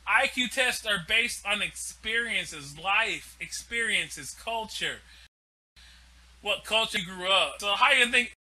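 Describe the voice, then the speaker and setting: A man's voice: high-pitched, lively intonation, slow, sharp tone, poor audio. A man hosting a live stream, delivering a heated, profane and repetitive ranting monologue.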